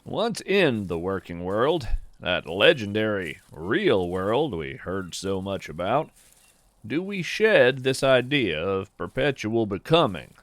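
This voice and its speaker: A man hosting a podcast, reading his own reflective essay in a measured, musing way that lingers on phrases. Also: on the low side, sing-song, no echo. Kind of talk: slow reflective scripted narration.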